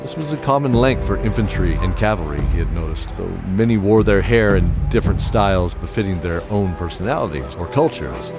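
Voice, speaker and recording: Deep-voiced man narrating fantasy drama. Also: lively intonation, a little fast, hardly any pauses. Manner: dramatic storytelling. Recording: prominent music bed behind voice.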